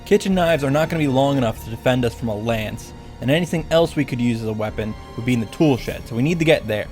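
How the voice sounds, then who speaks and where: A man's voice: medium pitch, fast talker, darkish tone. Sarcastic man recapping a game over music. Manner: sarcastic, profane. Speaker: video host recapping a visual novel. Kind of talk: game recap with commentary, reading lines aloud.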